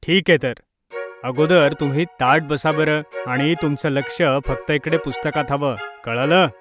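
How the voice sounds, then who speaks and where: A man plays a stern father in a children's cartoon story, his voice a bit higher than most men's. He instructs firmly and impatiently, in a scolding teacher's manner, with faint music underneath. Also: steady tone, unhurried, lightly acted.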